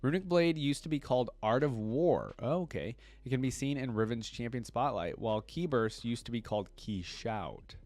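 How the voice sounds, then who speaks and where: A man giving casual reaction commentary as an online creator, reading wiki text aloud and thinking out loud about it. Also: medium pitch, animated, normal speed, hardly any pauses, no echo. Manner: thinks aloud, interjecting between read passages.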